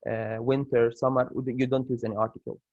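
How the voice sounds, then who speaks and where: A man's voice: mid-range voice, little pitch movement, a little fast, a little mellow, dry recording. Male teacher, Arabic accent, hesitant with fillers, dry and muffled lo-fi recording. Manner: hesitant, many fillers and restarts. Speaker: English teacher. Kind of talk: recorded grammar lesson.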